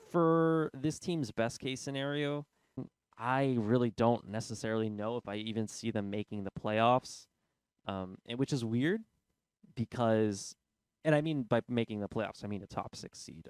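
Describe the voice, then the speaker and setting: A man's voice: lowish voice, clean tone, no echo. A man with a cold and a stuffy, congested voice, rambling informally as he hosts a casual basketball chat. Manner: rambling and informal, thinking aloud. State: congested from a cold, sore throat. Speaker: basketball video host. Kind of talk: casual sports commentary.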